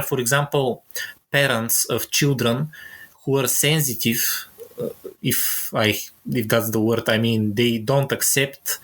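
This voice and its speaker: A man from Bulgaria with a Bulgarian accent, a journalist guest giving halting, analytical answers as he searches for words in English. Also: mid-range voice, fairly bright tone. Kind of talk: explanatory interview answers.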